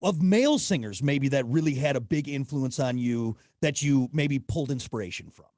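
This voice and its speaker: A man's voice: medium pitch, expressive, normal speed, a little mellow, no echo, studio quality. A man hosting an interview, chatty and repeating phrases as he asks questions. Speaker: show host, interviewer. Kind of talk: casual interview chat.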